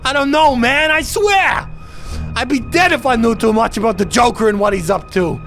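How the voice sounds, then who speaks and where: A man playing a cowardly, terrified low-life thug who pleads in a panic, in an audio drama. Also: moderate pace, creaky, fairly bright tone, faint music behind, heavily acted. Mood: panicked pleading.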